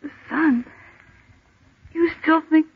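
An actress playing a desperate, frightened woman trapped in the dark, in an intense old-time radio drama style. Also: high voice, steady tone, slow. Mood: terrified pleading. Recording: dull, lo-fi old radio recording.

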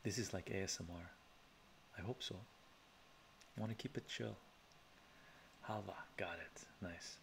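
A middle-aged man from Canada hosting a relaxed ASMR live stream from his home study, chatting softly, chuckling, often adding "right?". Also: low voice, unhurried, gravelly, pauses often, a little hiss or hum. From Canada, of Armenian descent from Iran. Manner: soft-spoken, chuckling, chatty asides with frequent "right?". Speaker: live-stream host reading chat. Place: home study lined with bookshelves. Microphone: webcam framing at desk.

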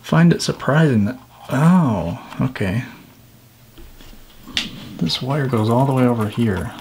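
A man's voice: mid-range voice, lively intonation, slow pace, noisy background. Man narrating a DIY repair tutorial. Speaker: video host.

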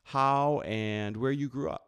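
A man's voice: mid-range voice, fairly even intonation, slow pace, a bit bright, clean tone. Upbeat man hosting a podcast. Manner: enthusiastic, emphatic praise. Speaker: podcast host. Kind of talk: upbeat podcast intro and interview.

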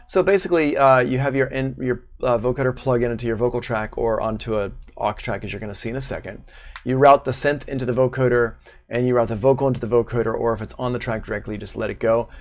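Casual, gravelly-voiced man teaching. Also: medium pitch, fairly even intonation, fast. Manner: informal, unscripted, thinking aloud. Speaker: online music production instructor. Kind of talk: casual software tutorial. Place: small room at home, indoors, livestream.

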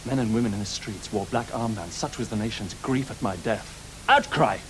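A man's voice: fairly low pitch, normal speed, noisy background, close to an everyday voice. A man playing an imperious, accusing Victorian detective. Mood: cold, accusing. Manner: theatrical, prosecutorial, biting. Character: accusing, wounded, imperious fictional detective confronting his author. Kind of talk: radio drama acting.

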